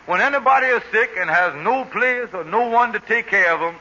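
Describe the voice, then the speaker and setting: A scheming, fast-talking husband full of plans in a vintage radio comedy. His voice is high and bright. It comes through dull, faintly hissing old broadcast audio. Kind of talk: 1940s radio comedy acting.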